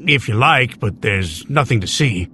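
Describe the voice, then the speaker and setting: A man voicing a gruff, lonely old gardener, sour and blunt, in an animated children's story. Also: mid-range voice, unhurried, slight background noise. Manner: blunt, terse.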